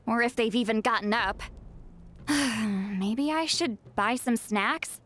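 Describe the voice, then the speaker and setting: Game role: worried young shaman, earnest, slightly high voice. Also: takes it slowly, slight background noise. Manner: worried, earnest, a touch formal. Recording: game audio over soft music.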